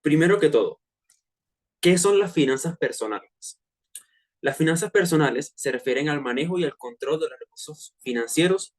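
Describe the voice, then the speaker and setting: A young Venezuelan man in his twenties talks through a personal finance session for fellow students. His voice sits at an ordinary middle pitch, and his delivery is loose and conversational, with plenty of hesitant fillers and casual slang. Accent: Venezuelan Spanish. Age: 20s. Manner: relaxed and chatty, with frequent filler hesitations and slang. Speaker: student presenter of a finance workshop. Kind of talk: casual online presentation to peers.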